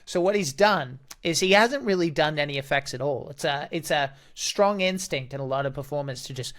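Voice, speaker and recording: A man's voice: on the high side, fairly quick, fairly bright tone. A man who works as a voice actor and dungeon master, explaining his approach to an audition in an analytical, think-aloud manner. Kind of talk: explanatory commentary on a voice acting audition.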